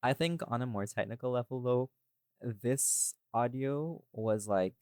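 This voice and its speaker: A man's voice: mid-range voice, unhurried, a little mellow, clean tone, dry recording, studio quality. A man chatting casually and expressively about his own audio work on a behind-the-scenes podcast. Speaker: audio drama creator, podcast host.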